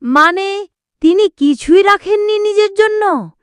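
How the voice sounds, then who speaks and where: A woman's voice: extremely high pitch, slow pace, no room sound, studio quality. A woman voicing an earnest, pious young boy in a scripted Islamic cartoon, speaking with reverence. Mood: curious, earnest.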